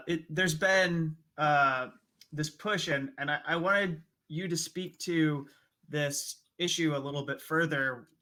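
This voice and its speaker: A man hosts a live interview with a bright, fairly high voice. His delivery is very slow and halting, feeling its way through each question. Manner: halting, hesitant. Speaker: show co-host.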